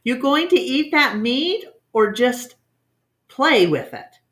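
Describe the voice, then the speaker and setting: A woman's voice: sits high, sing-song, takes it slowly, fairly bright tone, pitched above the performer's own voice, heavily acted. Woman reading as firm, folksy country dad. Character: stern, practical rural father of a young family.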